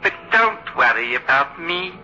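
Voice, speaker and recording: An actor playing a broken asylum patient who talks stiffly like a ventriloquist's dummy, in a radio horror play. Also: male voice, a little high, big pitch swings, measured pace, pushed and bright, soft background music, heavily acted. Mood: eerie calm. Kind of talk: 1960s radio horror acting. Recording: lo-fi old radio, faint hiss.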